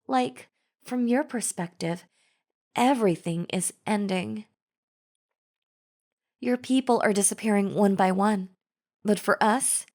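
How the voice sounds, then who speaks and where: A woman's voice: mid-range voice, darkish tone. A woman playing a chatty, falsely friendly zombie in an audio roleplay, talking casually and persuasively straight to the listener. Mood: chummy, persuasive. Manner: casual, chummy, persuasive, conversational. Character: chatty female zombie, falsely friendly, coaxing flesh-eater. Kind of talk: scripted audio roleplay, addressing the listener directly.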